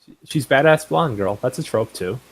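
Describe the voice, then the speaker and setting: A man's voice: medium pitch, animated, moderate pace. A man chiming in casually as a panelist in a club discussion. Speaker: anime club podcast panelist. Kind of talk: casual chat, short interjections.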